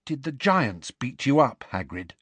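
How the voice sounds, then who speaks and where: A clever, earnest teenage girl from a storybook put on by a male reader. The voice is lifted high and moves around a lot, anxious and careful in its questioning. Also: very animated, measured pace, slightly rough, no room sound. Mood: careful, curious.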